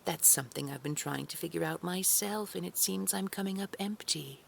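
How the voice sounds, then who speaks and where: A woman playing a haughty, menacing boss in an audio drama, sugary and condescending, often slipping into a breathy near-whisper. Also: fairly low pitch, a little fast, a little hiss or hum.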